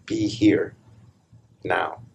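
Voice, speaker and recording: A man leading a guided meditation as a spiritual teacher, speaking calmly and deliberately in short phrases with long pauses between them. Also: low voice, vocal fry, breathy edge, highs cut off. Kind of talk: guided meditation, slow spoken instructions. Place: live meditation session in Los Angeles.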